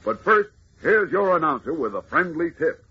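An actor as a suspenseful narrator of an old radio serial, speaking with urgent drama. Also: male voice, on the high side, sing-song, normal speed, breathy edge, heavily acted. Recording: muffled, noisy old radio recording.

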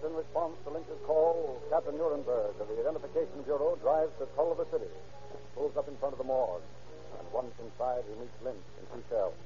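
Fast dramatic old-radio narrator man. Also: mid-range voice, faint music behind. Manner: dramatic, declamatory. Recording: dull old radio recording with hiss.

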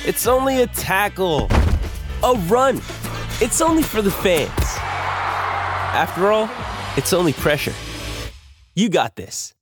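Slow, animated ad voice-over over music. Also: medium pitch. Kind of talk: advertisement voice-over.

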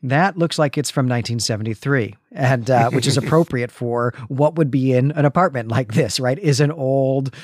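A man's voice: mid-range voice, normal speed, hardly any pauses, no room sound, clean studio sound. A middle-aged man co-hosting a podcast with casual, enthusiastic commentary, often checking in with a tagged-on question. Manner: frequent "right?" tags, enthusiastic. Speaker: podcast co-host. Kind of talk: casual conversational commentary on comics.

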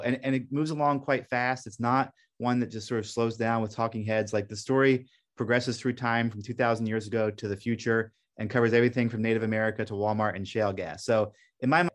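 A middle-aged man from Belgium, a documentary director, answering questions in a radio interview in an earnest, reflective way with frequent restarts. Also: mid-range voice.

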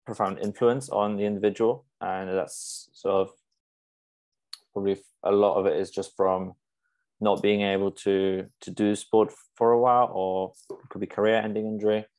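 A man's voice: on the low side, darkish tone. A young man from Poland, a Polish native speaker, talking as a sports psychologist guest on a podcast, thoughtful and hesitant with many fillers and restarts. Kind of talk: casual podcast interview.

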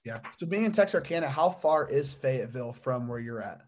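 A man's voice: mid-range voice, animated, a little fast. A young man hosting a sports podcast, asking long, rambling questions full of fillers and restarts. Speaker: podcast host. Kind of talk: casual sports interview.

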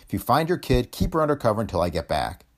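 Man as a gruff, decisive space-film boss. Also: mid-range voice, big pitch swings, fast. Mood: gruffly affectionate. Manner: brusque, slangy, growling.

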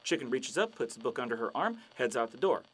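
A man telling long, shaggy-dog jokes with a deadpan delivery in a radio drama. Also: medium pitch, quick pace, a little hiss or hum. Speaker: joke teller.

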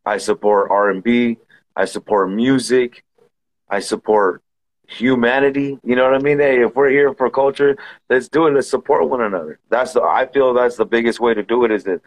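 A man's voice: mid-range voice, fairly even intonation, moderate pace, poor audio. A man from Southern California hosting a podcast in easygoing casual chat, often repeating himself and saying "you know". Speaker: podcast host.

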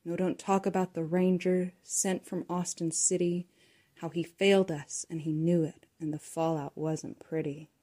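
A woman from Texas reads her own rhyming poem aloud, keeping it hushed and conspiratorial and now and then slipping into a whisper. Her voice is low and mellow. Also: little pitch movement, moderate pace. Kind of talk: rhythmic poetry reading.

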